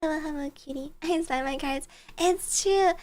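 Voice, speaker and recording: A woman's voice: sits high, moderate pace, a little mellow. A woman livestreamer chatting casually and greeting viewers in a bubbly, giggly, cutesy and playful manner. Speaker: livestreamer, virtual bear-girl host.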